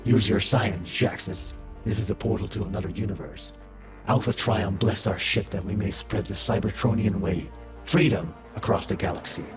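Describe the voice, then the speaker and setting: A man's voice: fairly low pitch, normal speed, lightly acted. A man voicing a solemn, devout robot warrior in a scripted audio drama, with grave, portentous delivery. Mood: reverent, urgent. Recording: echoey voice over music.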